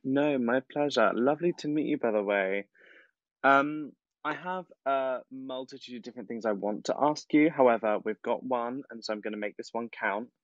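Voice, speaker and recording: Young man, British accent, effusive casual questioner, medium pitch, dry lo-fi sound. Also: normal speed, no room sound. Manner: effusive, emphatic. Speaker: audience guest asking a question. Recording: dull, lo-fi online call audio.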